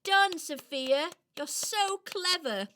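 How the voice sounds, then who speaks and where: A British woman playing a sweet, polite young female traveller in a children's radio play, gentle and earnest. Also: extremely high pitch, lively intonation, takes it slowly, slight background noise, voice pushed up, exaggerated voice. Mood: admiring.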